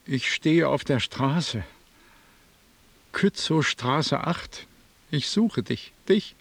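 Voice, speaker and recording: A lonely, worn-out man in a radio drama. He speaks slowly with a slightly rough, breathy tone, close and dry. His phrases come in pieces, musing and pleading. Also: fairly high pitch, expressive, a bit bright, no room sound, heavily acted. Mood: weary longing. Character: weary, broke, lonely man pleading for an apartment.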